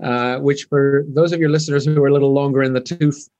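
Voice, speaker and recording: Older man with a softened Canadian accent. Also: mid-range voice, fairly even intonation, dull audio. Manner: measured, frequent ums and restarts. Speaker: guest. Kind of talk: business interview.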